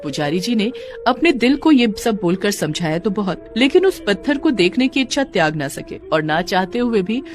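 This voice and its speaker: A woman narrating a cartoon story in an expressive storytelling manner. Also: medium pitch, normal speed. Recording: background music under voice.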